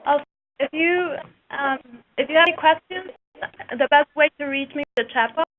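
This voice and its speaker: A woman's voice: high voice, normal speed, slight background noise. Woman with a Mexican accent, tinny line. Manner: hesitant, many fillers and restarts. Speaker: co-op business developer, presenter. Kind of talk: webinar presentation. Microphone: webinar call line. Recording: tinny phone-like webinar audio.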